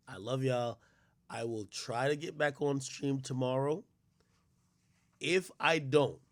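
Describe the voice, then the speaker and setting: A man's voice: lowish voice, no room sound. A man live-streaming, chatting loosely and profanely straight to his viewers. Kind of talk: casual live-stream chat.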